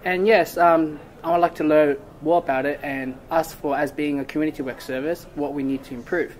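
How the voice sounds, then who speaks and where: A man interviews classmates in an easygoing, halting way and often stops to hunt for words. Some faint noise sits under his voice. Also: fairly high pitch, fairly even intonation, poor audio. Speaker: interviewer, student.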